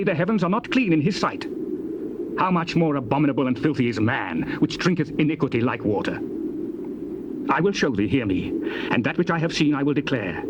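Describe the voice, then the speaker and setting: An actor playing a stern, accusing biblical elder, declaiming rebukes in a theatrical scripture dramatisation. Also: male voice, fairly high pitch. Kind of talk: dramatised King James scripture, theatrical recitation. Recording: noisy old cassette tape.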